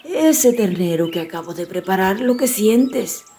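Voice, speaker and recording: A woman's voice: mid-range voice, animated, measured pace, warm tone. The ogre's good-hearted wife, voiced by a woman storyteller, pleads in a hesitant, coaxing way. She is timid and placating in this fairy tale, with faint music underneath. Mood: nervous, placating.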